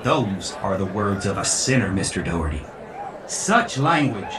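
A man's voice: fairly low pitch, sing-song, measured pace, background noise. A man playing a crafty, greedy revival preacher in an audio drama, showy and folksy, full of rhetoric. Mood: mock outrage. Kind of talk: theatrical sermon in audio drama. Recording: echoey, distant voice with crowd.

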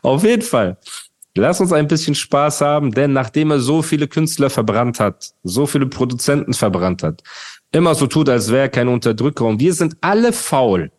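A man's voice: medium pitch, expressive, moderate pace, darkish tone. A male podcast host ranting and mocking in casual, slangy chat.

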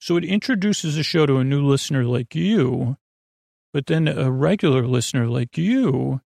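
A man hosting a bedtime podcast, rambling hesitantly in a gently silly, reassuring way and often doubling back on himself, with a gravelly, creaky voice. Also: medium pitch, expressive, moderate pace, no echo, studio quality. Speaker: podcast host.

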